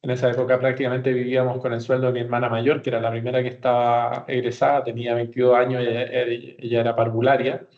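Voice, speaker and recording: A man's voice: mid-range voice, monotone, quick pace, few pauses, a little mellow, muffled recording. A man in his forties from Chile with a Chilean Spanish accent, answering interview questions conversationally and explaining with hesitations and self-corrections. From Santiago, Chile. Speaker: podcast guest, company executive.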